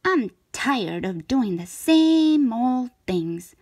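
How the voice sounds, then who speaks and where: Woman playing a slow-talking, restless little storybook shape. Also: a little high, sing-song, dark tone, slightly rough, exaggerated voice. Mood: grumbling, bored. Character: restless, dissatisfied cartoon shape, eager for more.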